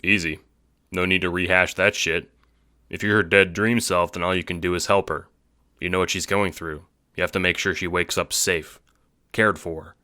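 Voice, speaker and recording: A man acts out a laid-back teenage boy in an audio drama. His voice is low and bright-edged, recorded very dry. He talks in terse, casual slang with a deadpan cool. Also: moderate pace, dry recording. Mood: reassuring.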